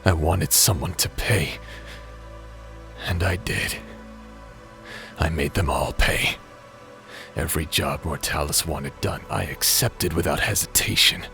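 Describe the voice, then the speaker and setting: Man acting a deep, slow, haunted ex space pirate. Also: gravelly, bright, forceful tone, soft background music, full character voice. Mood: haunted, remorseful. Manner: halting, heavy with pauses. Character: grief-stricken, guilt-ridden male ex space pirate brother. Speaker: voice actor in an audio roleplay. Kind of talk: dramatic audio drama acting.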